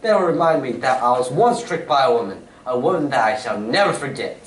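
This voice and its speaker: A man's voice: medium pitch, lively intonation, a little fast, natural voice. A man playing a gallant detective in an old-time radio drama, speaking formally and with sentiment. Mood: gallant, wistful. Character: courtly, gallant male detective addressing royalty. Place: indoor theatre stage, recorded from a distance. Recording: noisy, echoey, distant stage recording.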